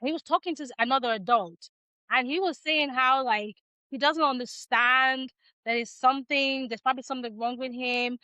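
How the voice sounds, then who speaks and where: Thirties woman from Nigeria, podcast guest; slow and reflective, somewhat high voice, dry close sound. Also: steady tone, sharp tone, clean tone, no echo, rough recording. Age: 30s. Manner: measured, reflective, with frequent ums and restarts. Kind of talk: casual interview chat.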